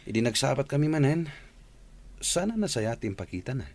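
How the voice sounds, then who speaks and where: A man's voice: mid-range voice, big pitch swings, a little fast, darkish tone. A man playing a grumbling young son in radio drama, with an Ilocano accent. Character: young man grumbling to his mother about a snobby woman.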